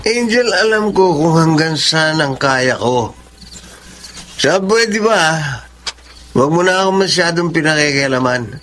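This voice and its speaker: A man's voice: on the high side, animated, a little slow, pauses often, fairly bright tone, slight background noise. A man with a Filipino accent acting a cocky, stubborn young husband in a radio drama, brash and dismissive. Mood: irritated, stubborn.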